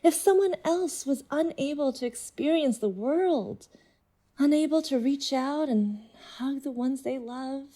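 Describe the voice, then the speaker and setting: A woman playing an intense, seductive nonhuman being in human guise in an audio drama, speaking in a deliberate, persuasive way. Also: sits high, dark tone. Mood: yearning, aggrieved. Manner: deliberate, measured, persuasive monologue.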